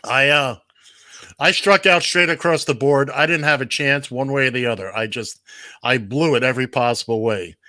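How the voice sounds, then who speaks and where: A bright, forceful man hosting a radio show, playful and teasing in his banter. He slips into a theatrical announcer delivery for a weekly segment. His voice is dry and close, with no room sound. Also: medium pitch, normal speed, no echo.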